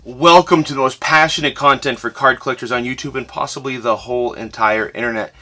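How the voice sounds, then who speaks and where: A man's voice: mid-range voice, fairly even intonation, fairly quick, bright, forceful tone. A man hosting his own collecting channel, talking casually through a recap while his voice is tired and fading. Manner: enthusiastic, chatty with frequent "uh" fillers. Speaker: host of a sports card collecting channel.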